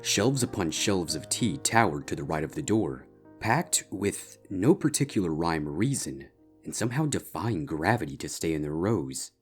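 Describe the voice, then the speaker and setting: Deep-voiced man narrating a story. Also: very animated, normal speed, breathy edge. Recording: music bed behind the voice. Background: soft instrumental guitar music.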